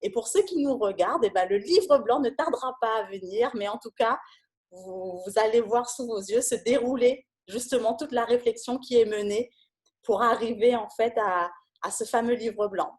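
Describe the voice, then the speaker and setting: A woman's voice: fairly high pitch, moderate pace, darkish tone. A woman interviewing for a careers centre, speaking casually with many hesitations.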